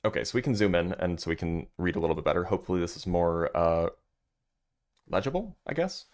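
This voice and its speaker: A man with a deep voice hosting a livestream, reading a physics paper aloud and chatting loosely with viewers between passages, with plenty of fillers. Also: lively intonation, quick pace, a little mellow. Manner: loose and chatty, many fillers, answers chat.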